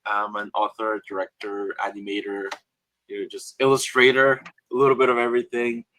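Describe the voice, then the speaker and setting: A man with a low, bright, forward voice talks haltingly on a panel, dropping in lots of ums and restarting his sentences. The sound is dry and close, with no room around it. He is from Guatemala. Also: flat delivery, no room sound, low-quality recording. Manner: hesitant, many fillers and restarts. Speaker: author and illustrator panelist. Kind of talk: casual panel discussion.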